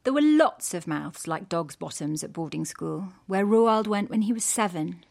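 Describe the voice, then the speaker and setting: A woman giving scripted documentary narration in a measured, gently wry storytelling manner. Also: on the low side, expressive, vocal fry.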